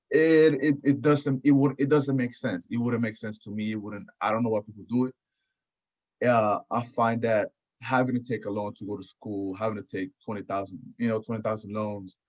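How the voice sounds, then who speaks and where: A man hosting a podcast in casual chat, often repeating his phrases. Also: on the low side. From Houston area, United States. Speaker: podcast host. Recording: dull, lo-fi audio.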